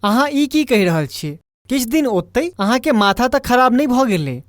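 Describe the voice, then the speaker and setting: A woman's voice: on the high side, very animated, normal speed, no room sound, clean studio sound. A woman voicing a worried grown son and husband in a cartoon, questioning and protesting, with a Maithili accent. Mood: alarmed, protesting. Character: worried, reasoning adult son and farmer husband. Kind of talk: Indian cartoon dialogue.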